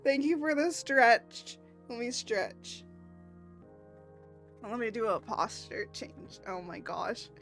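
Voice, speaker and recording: A young woman streaming, her voice hoarse from laughing, breaking into giggles as she chats. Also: on the high side, expressive, slow, pauses often, a bit bright, soft background music. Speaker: VTuber artist streamer. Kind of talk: casual live stream chat.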